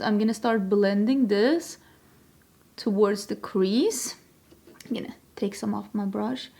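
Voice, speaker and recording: A young woman from Sweden, chatting casually and self-deprecatingly while she does her makeup on camera. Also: on the high side. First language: Swedish. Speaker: beauty vlogger. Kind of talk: makeup tutorial chat.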